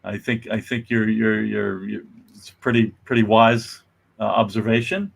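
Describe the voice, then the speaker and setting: Gravelly man hosting casually. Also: lowish voice. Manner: halting, repeats words. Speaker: host thanking the cast. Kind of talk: casual, unscripted live chat.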